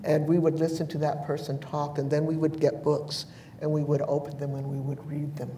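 An older woman from New Mexico on a panel, telling personal memories in a measured, thoughtful way with frequent pauses. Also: sits low, flat delivery, mellow. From United States (New Mexico). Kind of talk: reflective personal storytelling. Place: bright large indoor room, seated in armchair. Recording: echoey room, distant mic, faint hiss.

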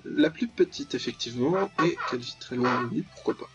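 A man's voice: medium pitch, big pitch swings, brisk, fairly bright tone. A young man giving casual, jokey, mock-dramatic commentary while playing a visual novel, muttering asides and reading text aloud. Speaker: gaming video host. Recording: dull lo-fi voice track over clear music.

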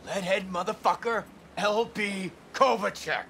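A man's voice: sits high, a little slow, creaky, bright, forceful tone, a little hiss or hum, heavily acted. An actor playing a brash, volatile aging male rock star in a video game, swaggering and foul-mouthed in street slang.

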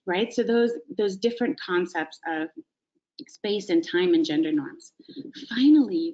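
A woman's voice: mid-range voice, a little mellow. A woman in her thirties leads a teaching webinar from home, speaking into a webcam. She explains each point patiently and checks in with the listener as she goes. The video-call audio sounds slightly dull. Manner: explanatory, frequent "right?" check-ins. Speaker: webinar presenter. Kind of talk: educational webinar presentation.

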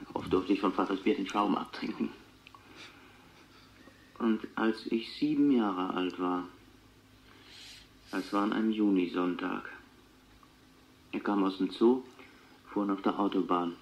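An actor playing a clairvoyant who recalls his childhood in a measured, reflective way on a taped radio drama interview. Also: sits low, fairly even intonation. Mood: wistful, reflective. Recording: dull tape recording with faint noise.

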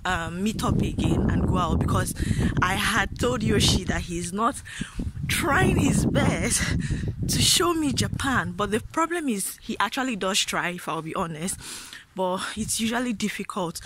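A young woman from Nigeria holds her phone at arm's length on a quiet street and talks easily to her viewers. Her upbeat, rambling delivery is full of small restarts. Clear background noise sits under the outdoor phone recording. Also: mid-range voice, animated, normal speed. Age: young adult. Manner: chatty, restarts and fillers, upbeat. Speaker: vlogger. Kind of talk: casual vlog talk to camera.

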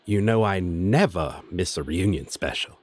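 Husky, flirty demon roommate played by a man. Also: low-pitched, expressive, no echo. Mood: animated, amused. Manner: teasing, casual, drawn-out delivery with dramatic swoops. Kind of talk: scripted audio roleplay addressed to listener.

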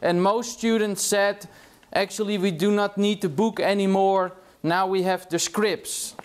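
A man's voice: sits high, fairly even intonation, measured pace, clean tone. A middle-aged man from the Netherlands with a Dutch accent, giving a casual university lecture in a large hall and checking in with "yeah?" as he goes. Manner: casual, chatty, tags remarks with "yeah?". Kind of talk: classroom lecture.

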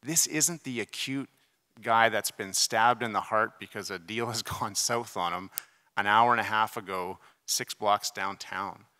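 A man's voice: fairly low pitch, measured pace, bright, forceful tone. A middle-aged man presenting at a conference podium, explaining in a conversational, emphatic way with casual asides. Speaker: surgeon giving conference debate presentation. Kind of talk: conference lecture with slides. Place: large conference hall, at a podium. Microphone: podium microphone. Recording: reverberant conference hall PA.

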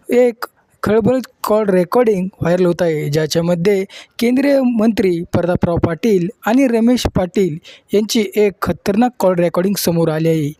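A presenter narrates a scripted news video. The voice is warm and relaxed, sitting at a middle pitch. It is recorded very dry, with no sense of room. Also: no room sound.

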